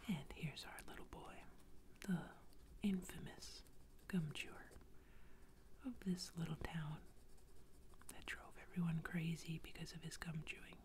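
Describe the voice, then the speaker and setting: Slow whispering woman telling a bedtime story. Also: low-pitched, steady tone. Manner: soft unvoiced whisper, improvised.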